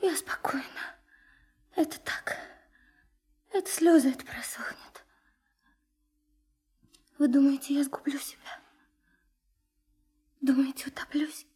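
An actress in a Russian radio play, voicing a fragile, heartbroken young girl, tender and impulsive, with breathy, tremulous, urgent delivery. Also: high-pitched, measured pace, pauses often, warm tone, whispered. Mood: tearful, wounded.

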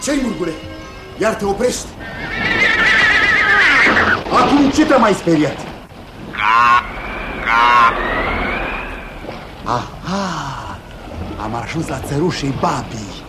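An actor playing a bold, lively young hero on horseback in a 1960s radio drama. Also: male voice, a little high, long pauses, loud music behind the voice, rough recording. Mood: spirited. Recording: hissy 1960s radio recording.

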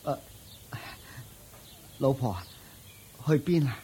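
An actor playing a poor, hapless husband in a radio drama, meek, plaintive and stammering in Hong Kong Cantonese. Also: male voice, mid-range voice, expressive, slow, dark tone, husky, full character voice.

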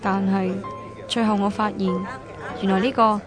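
A woman's voice: mid-range voice, steady tone, normal speed, over music, crowd noise, lightly acted. An actress playing a heartbroken, defiant young woman in an emotional, emphatic radio drama style. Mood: defiant, regretful. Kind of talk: Cantonese radio drama narration and acting.

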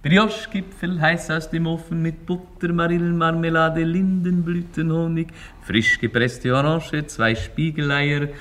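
High-pitched, lilting over-polite man. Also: fairly even intonation, unhurried, few pauses, fairly bright tone, a little hiss or hum. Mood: gleefully polite. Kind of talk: radio drama acting.

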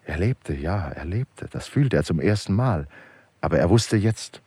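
A male radio play narrator with a very deep, husky voice, recorded close and dry. He reads literary prose gravely and with measured drama, letting the intensity swell and sink. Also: animated, darkish tone, dry recording.

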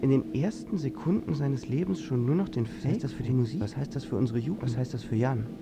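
A man's voice: medium pitch, fast, gravelly, lightly acted. Insistent, earnest man by an actor for radio drama, mid-range voice over heavy noise. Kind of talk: scripted experimental radio play. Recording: voice amid loud ambient noise.

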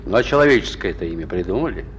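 Male police chief for radio drama: low-leaning, lively intonation, terse, commanding, fatherly and a bit teasing. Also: rapid-fire, slight background noise. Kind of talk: Soviet radio drama acting.

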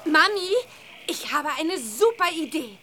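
A woman's voice: high voice, sing-song, measured pace, pushed and bright, slight background noise, exaggerated voice. An actress playing a cheeky, fearless young witch girl in a children's audio play, bubbly and confident. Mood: upbeat.

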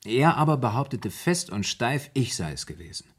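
A man's voice: mid-range voice, very animated, moderate pace, husky. An actor playing a troubled, deferential ex-soldier in a radio play, earnestly recounting his story. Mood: reflective, earnest. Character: troubled, deferential red-haired ex-soldier recounting strange memories.

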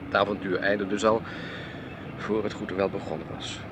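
An actor playing a timid, clinging husband who craves security, speaking hesitantly and placatingly in a radio play. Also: male voice, fairly low pitch, level tone, a little fast, noisy background, close to an everyday voice. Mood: relieved, patronising. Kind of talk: radio drama acting.

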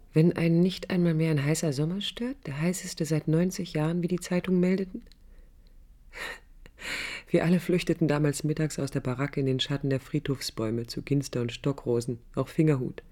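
Give this young heroine of a radio drama a breathy, husky voice. She is passionate and searching, speaking as if confiding her longing, with soft music in the recording. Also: deep voice, steady tone, moderate pace. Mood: musing. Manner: reflective, intimate, at times near whispering. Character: passionate, searching young woman architect, lovelorn. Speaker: radio drama actress, narrator and lead. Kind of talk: 1980s German radio play acting, intimate monologue.

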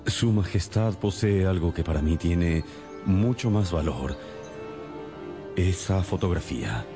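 Un actor que interpreta a un detective brillante, formal y preciso en una radionovela. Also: male voice, low-pitched, lively intonation, measured pace, over music. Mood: subdued, courteous. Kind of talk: radio drama acting.

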